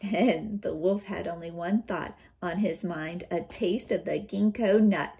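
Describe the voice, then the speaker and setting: A woman teacher giving an expressive, dramatic storybook read-aloud, pausing to put questions to young listeners. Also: on the low side, creaky.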